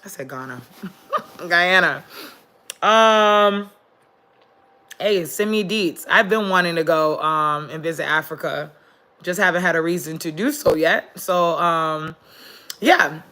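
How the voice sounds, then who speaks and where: Congested woman vlogger, raspy but bright, casual live-stream chat with viewers. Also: fairly low pitch, takes it slowly, long pauses. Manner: chatty, greets viewers, many fillers. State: congested, losing voice.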